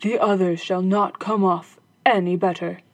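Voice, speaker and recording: A woman voicing a loud, cross male robber captain with a gruff, gravelly manner. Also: on the low side, fairly even intonation, unhurried, voice pushed down, poor audio. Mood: boastful.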